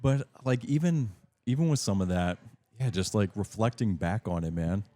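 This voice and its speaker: A man in his thirties with a naturally deep voice, co-hosting a casual podcast and thinking back aloud with lots of fillers. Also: lively intonation, moderate pace, mellow, dry recording. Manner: loose, reflective, filler-heavy talk. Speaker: podcast co-host. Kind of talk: casual chat.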